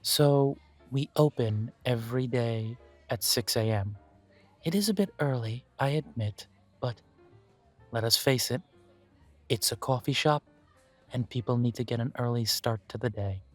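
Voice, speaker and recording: A man voicing a polite male elf who owns a coffee shop, interviewing the listener for a job in a calm, formal way. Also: medium pitch, measured pace, faint music behind, lightly acted. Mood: courteous. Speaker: shop manager interviewing an applicant. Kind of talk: audio roleplay, talking to the listener.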